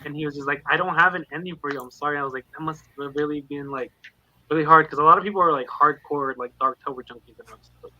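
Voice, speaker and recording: A man's voice: fairly high pitch, fairly even intonation, fast, bright, forceful tone, dull audio. A man in a casual book discussion, rambling along and voicing quotes as he tells anecdotes. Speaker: read-along panelist. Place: online live video chat.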